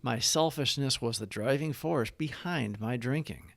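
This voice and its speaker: A man narrating scripted devotional reflections and prayers in measured, deliberate short phrases. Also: mid-range voice, gravelly, fairly bright tone, no echo. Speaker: narrator of daily recovery readings.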